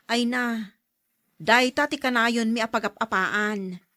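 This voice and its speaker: An actress playing a practical farmer's wife who complains in a gently teasing way. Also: female voice, a little high, takes it slowly, no room sound, clean studio sound. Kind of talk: audio drama acting.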